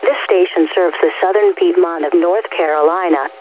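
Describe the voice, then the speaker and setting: High male station announcer, formal read, dull radio sound with faint hum. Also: moderate pace. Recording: dull, hummy weather radio reception.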